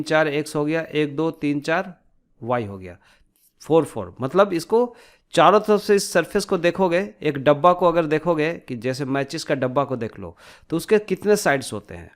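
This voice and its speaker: A man giving an informal step-by-step tutorial, explaining by asking and answering his own questions and mixing in English technical terms. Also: on the high side. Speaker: tutorial instructor.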